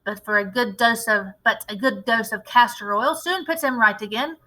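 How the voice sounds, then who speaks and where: A woman's voice: a little high, normal speed, pushed and bright, poor audio. A woman voicing a very large, coarse, impatient man in a storybook, brusque and pompous in manner. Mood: irritated. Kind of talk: storybook read-aloud character voice.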